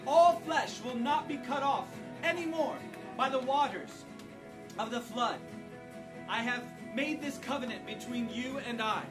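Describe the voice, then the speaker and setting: A woman's voice: fairly high pitch, unhurried. A woman reading scripture aloud and directing children in an instructive way, repeating short directions. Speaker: parent leading a family Bible reenactment. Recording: distant mic with music underneath.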